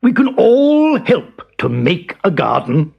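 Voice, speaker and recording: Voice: mid-range voice, huge pitch swings, takes it slowly, vocal fry, highs cut off, pitched above the performer's own voice, full character voice. A performer voicing a male lion, the leader of the animals, firm and emphatic with a growl. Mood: commanding.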